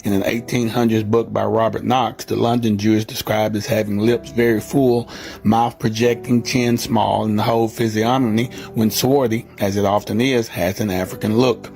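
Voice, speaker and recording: A man giving scripted documentary narration in a measured, steady reading. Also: on the low side, vocal fry. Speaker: video narrator. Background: faint music.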